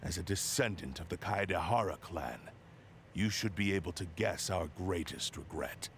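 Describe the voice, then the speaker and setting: Cold possessed blade villain, lowish voice, grand menace, faint noise. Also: male voice, animated, normal speed, a bit bright. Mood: menacing. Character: possessed ancient sword spirit, arrogant, ruthless. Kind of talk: game voice acting.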